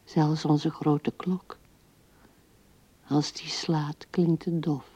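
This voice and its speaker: An actress playing an old widow musing tenderly and haltingly at her husband's grave in a radio drama, her soft voice often dropping to a hush. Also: low voice, flat delivery, husky, heavily acted. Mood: tender, grieving. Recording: dull old radio recording.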